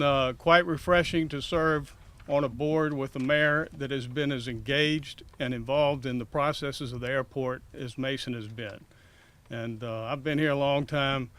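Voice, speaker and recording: An older man giving formal, measured remarks of thanks as a chairman. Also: mid-range voice, a bit bright, slight background noise. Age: 50s or older. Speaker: airport authority chairman.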